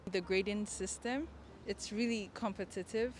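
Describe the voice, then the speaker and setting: A woman's voice: medium pitch, moderate pace, a little hiss or hum. A young woman from Nigeria, a student answering casually outdoors. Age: young adult. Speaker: university student interviewee. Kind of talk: casual street interview answers. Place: outdoors on a campus. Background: music.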